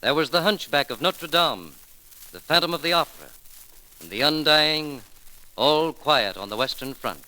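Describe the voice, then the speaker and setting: A man's voice: fairly high pitch, lively intonation, moderate pace, sharp tone. A man announcing a scripted radio tribute in the 1930s manner, formal, solemn and declamatory. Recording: noisy 1930s radio broadcast recording.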